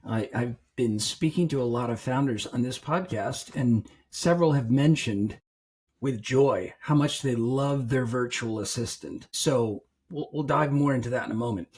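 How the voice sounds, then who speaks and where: A man's voice: medium pitch, normal speed, darkish tone, breathy edge. A man hosting a podcast, reading a scripted intro and then asking guests friendly questions. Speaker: podcast host.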